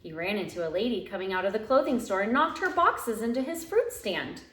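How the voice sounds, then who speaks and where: A woman reading a children's picture book aloud at home in an expressive, dramatic way, drawing out key phrases. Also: mid-range voice, brisk. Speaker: teacher reading aloud to young pupils. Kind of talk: animated children's storybook read-aloud. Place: living room at home. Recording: roomy, echoey home recording.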